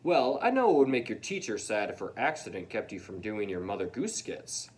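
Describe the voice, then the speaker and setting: Man playing a calm, kindly school principal. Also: fairly low pitch. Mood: encouraging. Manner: measured, explanatory, reassuring.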